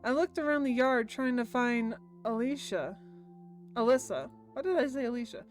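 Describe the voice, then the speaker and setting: A woman streams a visual novel, reading the text out and playing the lines with expression. Her voice is on the high side, with soft music in the background. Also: normal speed. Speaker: game streamer. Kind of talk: reading visual novel translation aloud with commentary.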